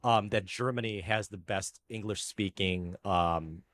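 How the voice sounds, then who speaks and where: A man's voice: lowish voice, unhurried, fairly bright tone. A man from the United States hosting a casual chat, curious and full of questions, often stumbling over his words.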